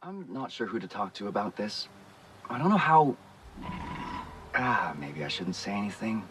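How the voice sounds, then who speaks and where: A man's voice: fairly low pitch, very animated, normal speed. An actor playing a worried, guarded but caring man in a video game, speaking hesitantly and conversationally. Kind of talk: naturalistic video game acting.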